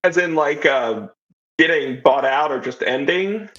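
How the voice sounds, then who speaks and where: A man's voice: a little high, expressive, unhurried, a bit bright, dull audio. A man giving a casual, thoughtful, rambling answer as an interview guest. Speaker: interview guest, audio drama writer and creator.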